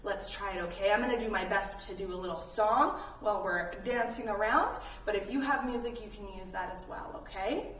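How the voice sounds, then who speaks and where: A woman's voice: mid-range voice, fairly quick, hardly any pauses, fairly bright tone. A woman instructing young children in a play-along yoga lesson, encouraging and playful, with little sound effects. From Canada. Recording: roomy, distant mic with faint noise.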